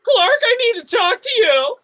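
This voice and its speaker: A woman's voice: very high voice, very animated, normal speed, bright, forceful tone, slight background noise, exaggerated voice. A woman playing a fussy, indignant housewife in an improvised comedy scene. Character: fussy, indignant housewife scolding her partner.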